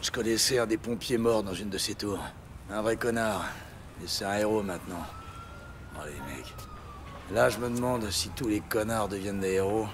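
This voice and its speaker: Low, sardonic actor as crooked police sergeant. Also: male voice, moderate pace, noisy background, natural voice. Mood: sardonic, stoned. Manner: sardonic, laid-back, crude. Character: cynical, foul-mouthed pot-smoking police sergeant. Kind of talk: audio drama dialogue. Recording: music and vehicle sounds behind.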